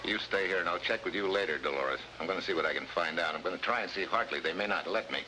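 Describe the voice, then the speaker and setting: A man acts a hard-boiled American special agent from an early 1950s TV adventure. His voice is fairly low, terse and sardonic. The old soundtrack is clearly noisy. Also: quick pace, lightly acted. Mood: terse, determined. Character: tough, wisecracking American government special agent.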